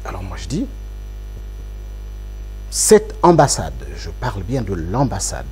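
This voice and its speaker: Emphatic Congolese politician, slow and lively. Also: male voice, fairly low pitch, husky, low-quality recording. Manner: emphatic, rhetorical, repeats phrases for effect. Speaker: opposition politician guest. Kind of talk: political interview.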